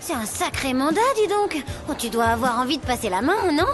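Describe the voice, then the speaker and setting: Chatty eager cartoon schoolgirl, high voice, ambitious type, music under it. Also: a little fast. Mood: curious, wry. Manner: chatty, expressive. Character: chatty ambitious sixteen-year-old schoolgirl, eager and self-assured. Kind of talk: animated series dialogue and voice-over.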